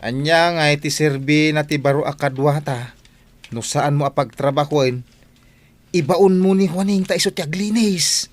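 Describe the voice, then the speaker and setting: A man's voice: a little high, normal speed, dry recording, close to an everyday voice. A man playing a lazy, jokey middle-aged utility worker in a comedy radio drama, whining and exclaiming.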